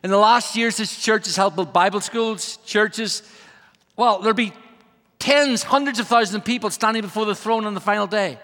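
A man's voice: high-pitched, normal speed, pushed and bright. A middle-aged Irish man, a guest preacher and missionary, joking with the congregation and building to a rousing, emphatic sermon. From Ireland. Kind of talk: humorous sermon. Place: large church sanctuary. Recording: long reverb of a large hall.

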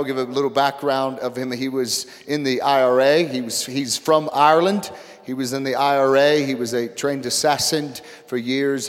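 A man, the church's pastor, introducing a guest speaker in an earnest, halting way with many restarts. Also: medium pitch. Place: large church sanctuary. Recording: long reverb of a large hall.

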